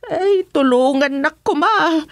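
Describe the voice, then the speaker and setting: A woman's voice: high-pitched, takes it slowly, no echo, studio quality. A woman with an Ilocano accent playing a village councillor in a comedy radio drama, pleading and defensive.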